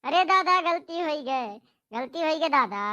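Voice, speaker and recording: A woman's voice: high-pitched, lively intonation, a little slow, sharp tone, heavily acted. A woman voicing a hapless young farm son in a comic cartoon, whining and pleading repeatedly with an Awadhi accent. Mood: frightened begging. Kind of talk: amateur comic cartoon dialogue.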